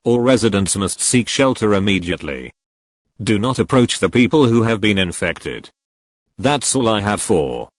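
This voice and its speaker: A man's voice: lowish voice, big pitch swings, moderate pace, no room sound. A synthetic-sounding male voice playing a formal news anchor reading a serious scripted emergency bulletin.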